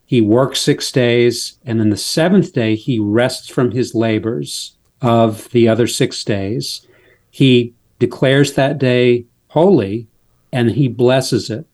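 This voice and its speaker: Man, guest author, measured, explanatory, mid pitch, interview. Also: darkish tone. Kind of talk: interview on faith.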